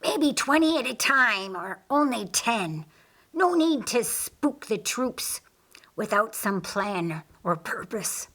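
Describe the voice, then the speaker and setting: A woman voicing a scheming, gloating male fallen angel in an audiobook, whispery and drawn-out. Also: on the high side, very animated, sharp tone, full character voice. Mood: scheming, commanding. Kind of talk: audiobook character acting.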